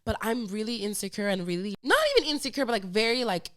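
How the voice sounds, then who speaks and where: A woman's voice: medium pitch, quick pace, fairly bright tone. A young woman who speaks English natively, chatting casually and candidly as a podcast guest in a bubbly way, peppering her talk with "like". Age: young adult. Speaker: podcast host appearing as interview guest. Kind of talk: casual chat.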